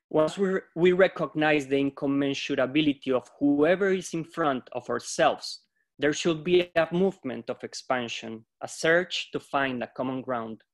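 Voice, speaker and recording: A man from Colombia, a yoga teacher, giving a reflective, measured talk on a video-call panel. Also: mid-range voice. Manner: reflective, measured, quotes philosophers.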